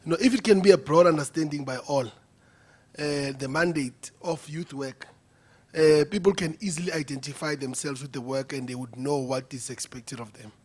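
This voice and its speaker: A man's voice: on the high side, normal speed, a little mellow. A man giving a hesitant floor comment at a youth conference. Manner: hesitant, many fillers. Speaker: conference delegate. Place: conference venue.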